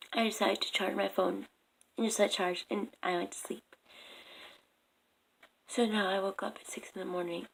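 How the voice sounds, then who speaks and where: A young woman vlogging at home on her phone camera, speaking softly in a raspy, half-whispered morning voice. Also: medium pitch, a little hiss or hum, rough recording. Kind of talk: casual advice vlog.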